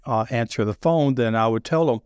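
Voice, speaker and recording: A middle-aged man with a deep Southern accent, talking loudly and telling sales stories with many restarts. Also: brisk, clear, resonant tone, no echo. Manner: loud, animated storyteller with frequent restarts. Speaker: sales podcast guest. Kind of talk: casual conversation.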